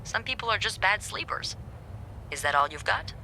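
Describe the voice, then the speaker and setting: A woman's voice: medium pitch, expressive, normal speed, sharp tone, slight background noise, poor audio. A woman playing a teasing, gossipy young hotel receptionist in a video game, with a sardonic, playful, breezy manner. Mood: teasing, nosy. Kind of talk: naturalistic video game voice acting.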